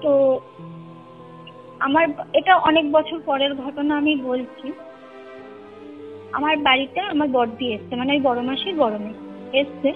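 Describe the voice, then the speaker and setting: A young woman with a very high voice recounts a personal experience in Kolkata Bengali. Her telling is conversational and emphatic, with repeated points and little checks on the listener. She comes through a narrow, tinny phone line with music playing underneath. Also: unhurried, long pauses. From Kolkata, India. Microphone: phone call. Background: clear music bed.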